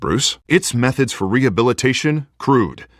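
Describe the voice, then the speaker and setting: A man's voice: mid-range voice, animated, moderate pace, no room sound, studio quality, lightly acted. An actor playing a confident, persuasive male politician running for mayor, with polished and assertive delivery, in a video game. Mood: grave, persuasive. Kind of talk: video game voice acting, podium speeches.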